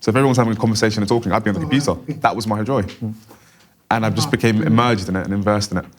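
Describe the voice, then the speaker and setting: A man interpreting for a deaf guest, speaking quickly in the first person. His voice sits fairly low, and he strings short clauses together in a steady flow. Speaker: sign language interpreter voicing a deaf guest.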